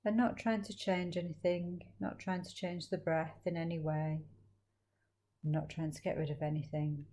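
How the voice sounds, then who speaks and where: Woman, deep-pitched voice, soft mellow tone, slow and soothing, guided mindfulness meditation, long pauses. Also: fairly even intonation.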